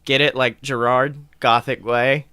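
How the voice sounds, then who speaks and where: A man's voice: medium pitch, little pitch movement, unhurried, sharp tone, clean tone, dry recording. A man co-hosting a podcast, giving a playful, mock-dramatic reading of a story with sardonic asides. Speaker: podcast co-host.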